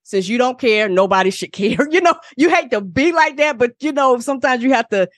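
A middle-aged woman co-hosting a casual podcast, telling stories in animated slang and swearing freely. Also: fairly high pitch, brisk, no room sound, clean studio sound. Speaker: podcast co-host. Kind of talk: casual chat and storytelling.

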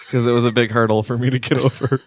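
A man hosting a casual comedy podcast, joking and crude and quick to laugh. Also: mid-range voice. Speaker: podcast host. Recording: dry studio.

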